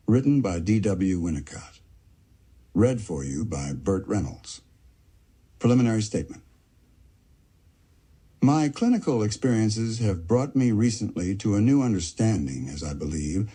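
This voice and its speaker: A man with a very deep, dark voice reads a scholarly essay aloud in a slow, measured way. His tone is heavily husky and breathy, and he pauses to mark each section heading. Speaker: reader of an essay. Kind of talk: audiobook-style reading of a psychoanalytic essay.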